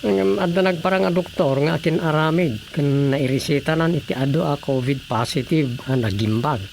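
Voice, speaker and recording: Gravelly, high-ish talkative man. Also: moderate pace, darkish tone. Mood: explaining. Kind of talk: Ilocano radio drama comedy acting. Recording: clear background noise and ambience.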